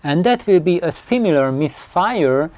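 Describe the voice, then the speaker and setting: Halting man talking in a dry room. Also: fairly high pitch, animated, a little mellow, dry recording. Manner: hesitant, halting delivery with repeated words; acts out imagined dialogue. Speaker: loudspeaker designer. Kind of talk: informal explanatory talk.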